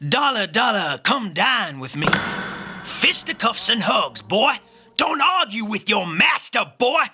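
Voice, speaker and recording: An actor playing a menacing, theatrical crime boss with a twisted romantic streak, speaking in florid, drawn-out poetic lines in a noir radio play. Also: male voice, high voice, big pitch swings, noisy background, exaggerated voice. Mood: domineering, boastful.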